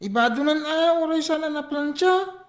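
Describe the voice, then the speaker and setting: Embarrassed, reluctant Filipino child, voiced by a woman; radio comedy, slightly high, roomy sound. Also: moderate pace, lightly acted. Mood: complaining. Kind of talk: comedy radio drama acting.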